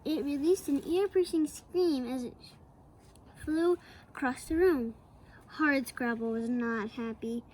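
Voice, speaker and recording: A woman reading a children's picture book aloud, slowly and with playful asides to the young listener. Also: high voice, slight background noise, low-quality recording. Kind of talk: read-aloud storytelling for kids.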